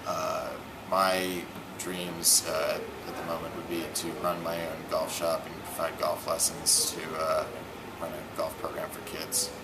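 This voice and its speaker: Very deep, flat-voiced student. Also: male voice, unhurried. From New Jersey. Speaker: college student. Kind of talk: interview answers. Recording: background noise, air conditioning hum.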